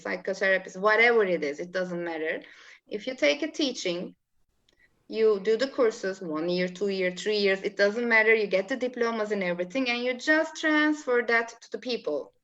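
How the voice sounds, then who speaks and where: A woman from Turkey with a Turkish accent, speaking English as a coach being interviewed, explaining in an animated way with halting non-native phrasing. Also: mid-range voice. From Istanbul, Turkey. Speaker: spiritual coach, interview guest. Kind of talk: explaining in a live online interview. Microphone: video call. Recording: dull, lo-fi video call audio.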